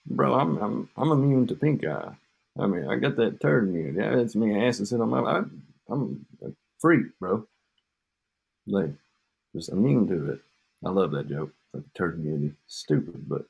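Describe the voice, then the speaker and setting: Husky man rambling jokes. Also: fairly low pitch, lively intonation, moderate pace, a little mellow. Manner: joking, meandering, trails off. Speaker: podcast co-host. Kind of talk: rambling comedic chat. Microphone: home mic with echo.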